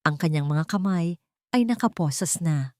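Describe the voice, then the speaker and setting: Woman storyteller, low pitch, dramatic scripted narration, close dry recording. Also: animated, moderate pace, a little mellow, dry recording.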